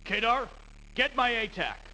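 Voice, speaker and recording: An actor playing a proud, ruthless military commander in a video game, emphatic and theatrical. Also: male voice, very high-pitched, sing-song, measured pace, bright, forceful tone, heavily acted. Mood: determined. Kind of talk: dramatic video game cutscene acting. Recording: noisy 1990s game audio, long reverb.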